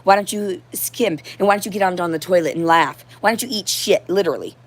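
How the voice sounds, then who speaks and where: A woman with a hoarse voice giving a rambling, unscripted livestream monologue in a confrontational, profane and disjointed manner. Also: fairly low pitch, brisk. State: hoarse throat. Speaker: livestream host.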